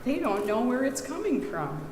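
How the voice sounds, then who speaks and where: A woman presenting and reading poetry aloud to an audience, with expressive, measured delivery. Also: fairly high pitch. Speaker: readers theater presenter and poetry reader. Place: reverberant church, live reading. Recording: roomy church hall, clear background noise.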